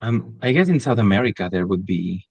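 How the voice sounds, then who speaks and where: Podcast guest, man with a Catalan accent, lowish voice, halting and full of ums. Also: expressive, vocal fry, husky, lo-fi sound. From Catalonia, Spain. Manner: hesitant, many ums and restarts. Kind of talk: casual interview chat.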